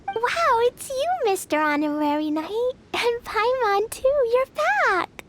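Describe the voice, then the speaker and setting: A woman's voice: extremely high pitch, animated, slow, full character voice. A woman voicing an innocent, cheerful and rash little girl in a video game, with a childlike, naive way of talking. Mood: excited. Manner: childlike, naive, simple wording. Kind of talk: scripted video game voice acting.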